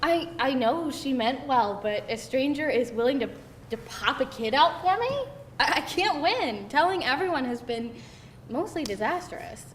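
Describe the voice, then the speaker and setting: A woman's voice: expressive, normal speed. A woman playing a frank, sardonic twenty-eight-year-old career woman in a screenplay table read. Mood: rueful, frank. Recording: roomy echo, rumbly background noise.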